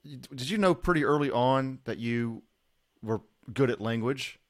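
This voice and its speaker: A man hosting a casual interview, musing aloud in a relaxed way and often breaking off mid-sentence. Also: mid-range voice, unhurried. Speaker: podcast host.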